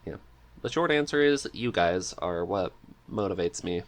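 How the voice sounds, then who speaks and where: A man's voice: low-pitched, animated, normal speed. A man talking casually as a podcast guest, chatty and eager in manner.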